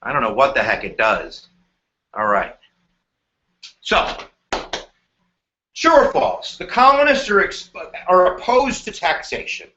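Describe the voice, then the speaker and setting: High, bright-voiced teacher in an echoey room. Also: male voice, huge pitch swings, takes it slowly, pauses often. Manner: playful and slangy, jumping into goofy voices. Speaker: history teacher hosting a live review. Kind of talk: energetic live-stream lesson. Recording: dull echoey room sound.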